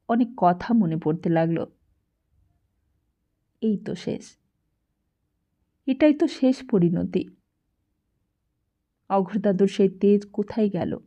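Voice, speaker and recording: A woman narrates literary fiction in a slow, dramatic voice of medium pitch. She lets her phrases breathe with deliberate pauses. The recording is somewhat dull and lo-fi. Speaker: audiobook narrator. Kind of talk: expressive literary audiobook narration. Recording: dull, lo-fi sound.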